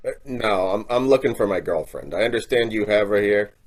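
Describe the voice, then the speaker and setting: A man playing a lazy, self-important boyfriend in old-time radio comedy style, hesitant, slangy and slow-witted. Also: fairly low pitch, expressive, close to an everyday voice, low-quality recording. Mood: hesitant, wheedling.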